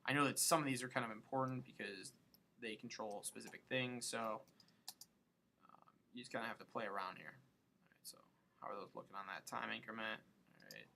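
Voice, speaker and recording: A man giving a casual software tutorial, thinking aloud and loosely muttering to himself between steps as he works. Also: fairly low pitch, brisk, gravelly, pauses often, a little hiss or hum. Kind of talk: casual screen-recorded tutorial, thinking aloud while working.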